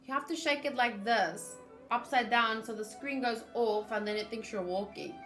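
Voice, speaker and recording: Higher-voiced woman chatting casually on stream. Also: moderate pace, a bit bright, faint music behind. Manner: informal, chatty, reacting aloud. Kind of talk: casual livestream chat while gaming.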